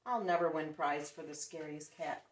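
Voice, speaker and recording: A woman voicing an eager, easily discouraged little boy cat in a storybook, with a pleading, excitable manner. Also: low-pitched, moderate pace, close to an everyday voice. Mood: dejected. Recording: roomy echo, distant microphone.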